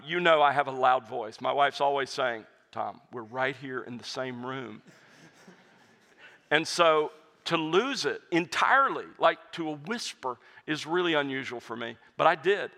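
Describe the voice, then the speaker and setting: Pastor fielding questions: man, earnest and reflective, bright voice, long hall reverb. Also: a little high. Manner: earnest, reflective, with frequent restarts. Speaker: pastor and hymn writer answering audience questions. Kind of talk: conversational Q&A talk.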